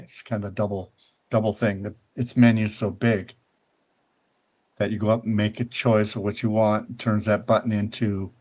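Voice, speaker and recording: An older man hosting a relaxed talk show, wandering from point to point in an easygoing, rambling way. His pitch sits in an ordinary middle range, and he keeps checking in with his listeners. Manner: rambling, conversational, often checks in with "right?". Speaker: show host. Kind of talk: casual virtual-world tour and chat.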